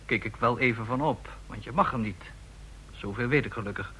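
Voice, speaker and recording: A man's voice: medium pitch, brisk, pushed and bright, close to an everyday voice. An actor playing an ambitious, frustrated junior intelligence man, insistent and pressing, in a radio play. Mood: resentful, eager. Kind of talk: Dutch radio play acting. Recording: hissy old radio play recording.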